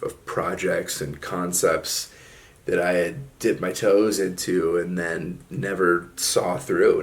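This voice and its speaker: Deep gravelly man musing casually. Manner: laid-back, drawling, frequent fillers like "man" and "like". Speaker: solo video host. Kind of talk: casual reflective monologue.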